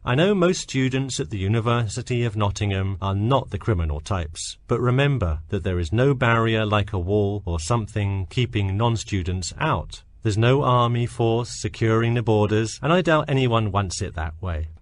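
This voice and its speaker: A man playing an earnest campus safety officer, giving a measured, instructive scripted talk to students. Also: fairly low pitch, expressive, natural voice. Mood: advisory, earnest.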